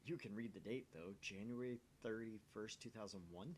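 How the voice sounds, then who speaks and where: A man with a fairly low voice reads game narration and lines of dialogue aloud. The recording is homemade, with a noticeable low rumble of noise behind him. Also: normal speed. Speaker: amateur voice reader of a visual novel.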